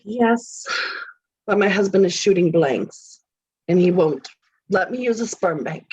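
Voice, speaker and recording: Woman acting a husky, desperate, controlling wife. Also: lowish voice, lively intonation, measured pace, a little mellow. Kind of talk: scripted table read. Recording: dull, lo-fi audio.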